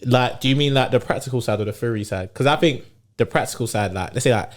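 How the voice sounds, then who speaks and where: Brief: young male guest, easygoing, mid-pitched voice. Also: quick pace. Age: young adult. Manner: laid-back slangy talk, laughs often. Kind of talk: casual chat.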